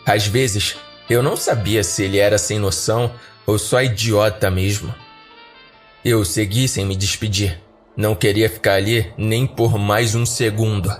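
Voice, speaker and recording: A man's voice: lowish voice, fairly even intonation, unhurried. A man with a Brazilian accent narrating a scripted first-person horror story as a podcast narrator. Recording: background music under narration.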